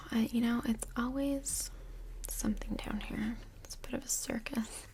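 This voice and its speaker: A woman playing a calm, tactful counsellor in Hell in an ASMR role play, speaking softly in a whispery, crackly voice with gentle, hesitant phrasing. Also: on the high side. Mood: weary. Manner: gentle, hesitant, diplomatic therapist talk.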